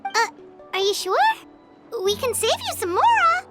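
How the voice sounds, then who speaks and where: A tiny female fairy companion in a game, peeping and squeaking well above any normal voice and swinging wildly up and down. She refers to herself by name like a child, over clear game music. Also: very animated, unhurried, full character voice. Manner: talks about herself in third person. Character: tiny chirpy female fairy guide, greedy for food. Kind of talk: video game voice acting.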